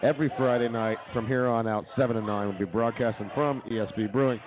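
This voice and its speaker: A man's voice: mid-range voice, fairly even intonation, fast talker, music in the background. A man hosting a live sports talk radio show with running commentary from a brewery. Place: brewery venue, live broadcast. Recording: thin phone-like sound with crowd chatter.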